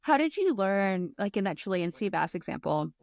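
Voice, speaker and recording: A woman's voice: medium pitch, big pitch swings, moderate pace, vocal fry, a little mellow, no echo, studio quality. A woman hosting an interview, asking questions and affirming her guest. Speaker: podcast host.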